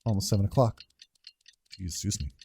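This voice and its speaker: A man giving casual, low-key reactions and muttered commentary as he hosts a game playthrough. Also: fairly quick, creaky, background noise. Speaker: gameplay video host.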